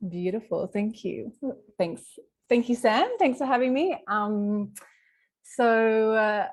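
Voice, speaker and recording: A woman's voice: a little high, animated, takes it slowly. A woman from Western Sydney with an Australian accent, chatting informally in an interview and thinking aloud as she goes. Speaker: company executive being interviewed. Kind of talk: casual interview chat.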